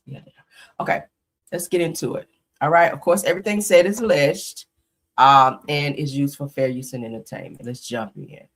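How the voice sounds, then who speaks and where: A woman's voice: deep voice, moderate pace. A woman hosting a casual live stream, sniffling from a slight cold, greeting her viewers and chatting with them in a loose, easygoing way. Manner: chatty, greets viewers often, says y'all.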